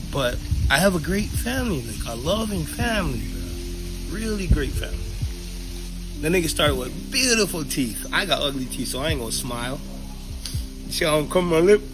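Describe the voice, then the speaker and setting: A man with a fairly high voice and a Hawaiian Pidgin accent shares personal stories as an interviewee. He talks in a candid, slangy and playful way, with music playing behind him. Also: lively intonation, normal speed, poor audio. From Kahuku, Hawaii. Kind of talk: casual personal storytelling.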